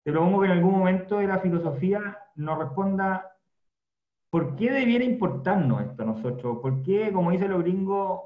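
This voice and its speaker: High-voiced Chilean host in echoey room. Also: male voice, expressive, normal speed. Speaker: host, moderator. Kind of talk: casual moderated chat. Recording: roomy, dull lo-fi call audio.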